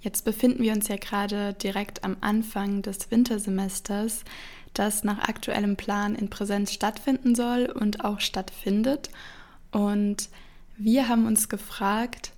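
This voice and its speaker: A young woman hosting a student podcast, presenting from a prepared script with a steady delivery. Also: medium pitch. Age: young adult. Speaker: podcast host.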